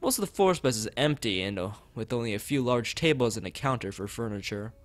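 A man's voice: medium pitch, lively intonation, brisk. A man live streaming a visual novel, reading its text aloud and drifting into rambling asides to the chat. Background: background game music.

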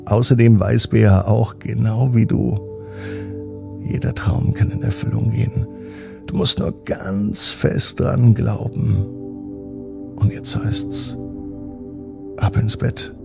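A man with a German accent narrating a bedtime story for children in a calm, gentle way, speaking straight to the young listeners. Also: on the low side, takes it slowly, creaky, long pauses, over music.

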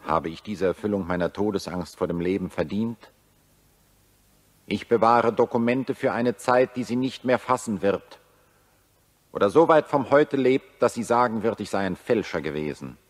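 An actor in a 1940s German radio drama playing an anguished, bitter satirist who accuses humanity, speaking in solemn, rhetorical declamation. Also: male voice, fairly low pitch, moderate pace, lightly acted. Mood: grave despair.

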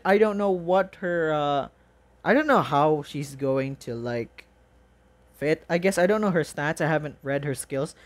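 A reaction video host chatting casually and excitedly in a rambling, exclaiming, informal way. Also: medium pitch.

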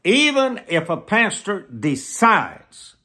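An elderly man preaching to the camera in an emphatic, deliberate manner, often repeating phrases for weight. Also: sits high, huge pitch swings, bright, forceful tone. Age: 70s. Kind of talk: sermon to camera. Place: small plain room indoors.

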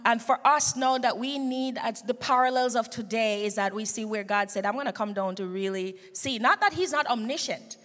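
A woman's voice: a little high, moderate pace, few pauses, a bit bright. A middle-aged woman hosting a Bible study panel, speaking emphatically into a handheld microphone. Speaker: Sabbath school host. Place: large church stage, indoors. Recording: reverberant church hall sound.

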